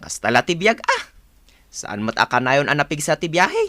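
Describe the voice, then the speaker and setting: Gruff, animated Ilocano husband. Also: male voice, on the high side, moderate pace, no room sound, clean studio sound, heavily acted. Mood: irritated, evasive. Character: gruff, evasive husband, tired driver. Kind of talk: Ilocano radio drama acting.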